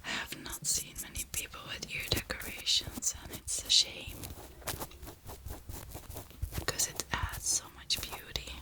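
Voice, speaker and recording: A woman speaking in a breathy whisper, gently murmuring through an ASMR personal attention roleplay with soft pauses. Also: rough recording. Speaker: ASMR creator playing an ear decorator.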